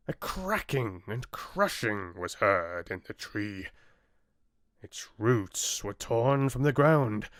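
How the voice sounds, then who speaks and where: A man giving a measured, dramatic, expressive narration of a fairy tale audiobook. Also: medium pitch, dry recording, clean studio sound.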